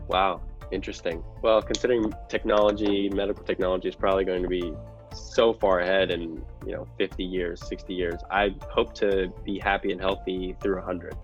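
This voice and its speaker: A man's voice: low-pitched, little pitch movement, a little fast, highs cut off, faint music behind. A man answering conversationally as an interview guest, explaining things in an analytical way. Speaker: podcast guest, real estate investor.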